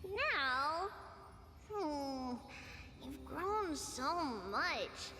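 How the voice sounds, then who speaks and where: A woman voicing a gentle, caring, girlish young singer in a video game, with slow, tender delivery. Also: sits high, animated, full character voice. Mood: tender, nostalgic. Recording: long reverb, faint music behind.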